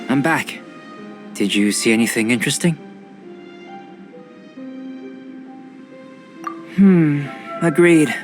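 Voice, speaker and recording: A man voicing a calm, curious young alchemist in an anime-style video game, speaking in a formal, thoughtful way. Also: on the high side, close to an everyday voice. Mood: pensive. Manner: measured, thoughtful, formal, unhurried. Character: calm, curious young male alchemist researcher, politely detached. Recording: soft game music behind the voice.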